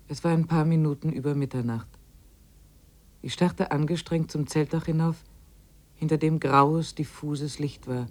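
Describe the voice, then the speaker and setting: Measured expedition diarist. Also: female voice, low voice, fairly even intonation, darkish tone. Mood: observant, hushed. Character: observant expedition diarist recounting days in the wilderness. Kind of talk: literary first-person narration in 1950s German radio drama.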